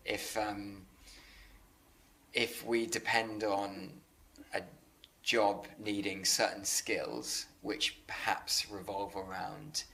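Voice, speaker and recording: A man in a conversational interview, speaking earnestly and haltingly, often repeating words as he works through a thought. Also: on the low side, creaky, pushed and bright, low-quality recording. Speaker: interviewer and business leader.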